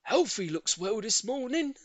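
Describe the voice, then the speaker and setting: A man voicing a shy, earnest, politely lovestruck older gentleman with a solemn, emphatic delivery in an audiobook. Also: extremely high pitch, big pitch swings, measured pace, no echo, pitched above the performer's own voice, full character voice. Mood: polite, eager. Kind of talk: audiobook character voice.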